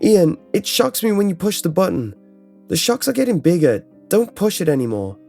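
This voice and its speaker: A man's voice: a little high, sing-song, moderate pace, gravelly, warm tone, faint music behind, pitched above the performer's own voice, heavily acted. A man playing a frightened, trapped boy in a horror story, protesting urgently and pleading. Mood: panicked. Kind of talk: first-person horror audiobook character dialogue.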